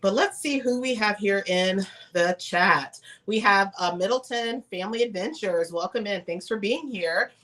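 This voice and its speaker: A woman's voice: medium pitch, normal speed, few pauses, a bit bright. A woman hosting a casual livestream chat who sounds congested and nasal from a cold, greeting viewers with frequent "um"s. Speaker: host and travel advisor.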